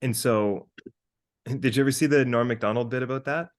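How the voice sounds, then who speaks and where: A man in his thirties talking casually as a podcast co-host, animated and sweary as he thinks out loud. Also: mid-range voice, normal speed. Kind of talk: casual conversational monologue with hypothetical examples.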